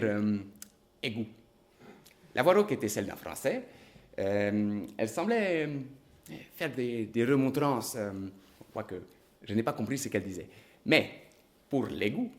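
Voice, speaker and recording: An actor playing an excitable middle-aged confectioner, a witness who talks rapidly and hesitantly with many ums. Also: male voice, on the low side.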